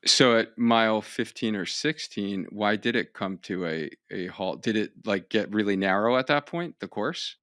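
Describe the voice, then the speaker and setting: A man from Long Island hosts a casual interview. His voice sits a little low and the sound is close and dry. He eases into questions with fillers. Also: normal speed, few pauses, dry recording. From Long Island, New York. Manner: relaxed, asks questions with fillers. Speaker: podcast host, physical therapist.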